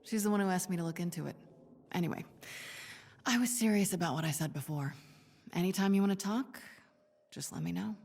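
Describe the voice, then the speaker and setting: A woman's voice: fairly low pitch, a little fast, vocal fry, long pauses, darkish tone, breathy edge. A woman voicing a composed, dryly witty spy heroine in a video game, recorded in a reverberant space. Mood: calm, reassuring. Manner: dry, composed. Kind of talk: naturalistic video game voice acting. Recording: long reverberant hall echo.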